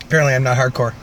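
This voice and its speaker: A man's voice: mid-range voice, fairly bright tone, rough recording. A young man co-hosting a podcast in dry, laconic, casual and sweary chat. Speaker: podcast co-host. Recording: background noise.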